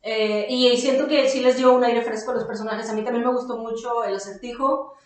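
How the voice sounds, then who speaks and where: Casting: Mexican woman podcaster, fairly high voice, slangy review chat with some swearing, recorded in a very reverberant room. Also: steady tone, a little fast. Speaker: podcast co-host reviewing an audio drama. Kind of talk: casual chat. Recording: very echoey room.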